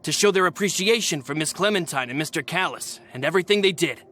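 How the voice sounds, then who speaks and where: A man's voice: on the high side, expressive, fast, pushed and bright, a little hiss or hum. An actor playing an earnest, deferential young male assistant in a video game, speaking politely, formally and eagerly. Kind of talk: video game character dialogue. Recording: music behind the voice.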